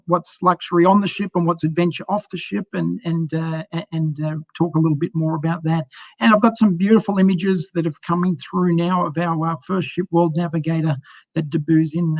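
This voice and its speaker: A man's voice: a little high, creaky, dry recording. A middle-aged man with an Australian accent, a cruise sales director talking over a video call in a friendly, chatty way with many ums and uhs. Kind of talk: casual video-call presentation.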